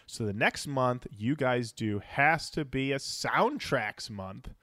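A man's voice: mid-range voice, expressive, slow, pushed and bright, no room sound. Casual male podcast host, dry close sound. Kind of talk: casual podcast chat, reading out listener feedback.

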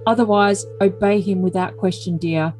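A woman's voice: medium pitch, normal speed, darkish tone, soft background music, natural voice. A woman voicing a doting, controlling, self-important boyfriend in an overly dramatic reading of messages. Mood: commanding.

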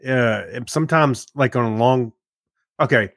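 A man's voice: mid-range voice, unhurried, a bit bright, dry recording. A man in easy podcast banter who wanders through his points and laughs often. His voice sits in a mid range. The recording is dry and close. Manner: rambling, laughs often, restarts. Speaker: podcast co-host. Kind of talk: casual banter.

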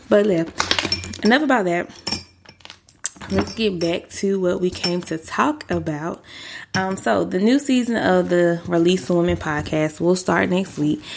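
A young woman hosting a faith-based podcast, six months pregnant and a little out of breath, talking earnestly and casually to her listeners. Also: vocal fry. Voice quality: deeper than usual. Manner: earnest, chatty, uses y'all. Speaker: podcast host.

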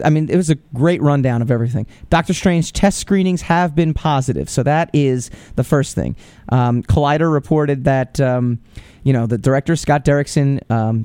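A man's voice: a little high, darkish tone, smooth, no echo. A man hosting a podcast, giving casual, opinionated commentary with lots of fillers and restarts. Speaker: podcast host.

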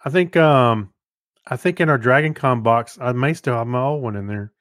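A man's voice: mid-range voice, normal speed, fairly bright tone. An American man chatting casually as a podcast co-host. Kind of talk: casual chat.

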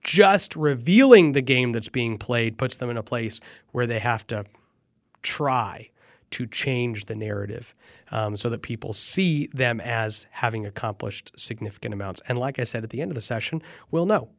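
A man's voice: medium pitch, lively intonation, normal speed, no echo, studio quality. A man hosting a political podcast, talking earnestly and emphatically with a stuffy, nasal voice from allergies. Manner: earnest, emphatic, rises when fired up. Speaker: podcast host. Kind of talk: political commentary monologue.

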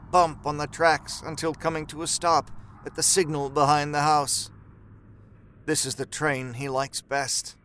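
A man narrating a horror story in a measured, suspenseful, dramatic storytelling style. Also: a little high, a bit bright, slight background noise.

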